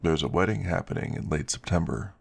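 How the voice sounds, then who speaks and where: A man's voice: low voice, animated, a little fast, creaky, husky, heavily acted. A man voicing an earnest male werewolf in an ASMR story. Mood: thoughtful, earnest. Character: earnest male werewolf explaining his resort's work. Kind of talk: ASMR story character dialogue.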